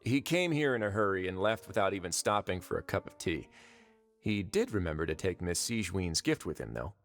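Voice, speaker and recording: An actor playing a suave, sardonic prison warden in a video game, speaking with wry authority. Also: male voice, low-pitched, very animated, a little fast, faint music behind. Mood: matter-of-fact.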